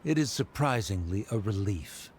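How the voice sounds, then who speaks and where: A man's voice: fairly low pitch, expressive, normal speed, a little hiss or hum, close to an everyday voice. A man voicing a haughty, disdainful middle-aged scholar father in a video game, pompous and cold.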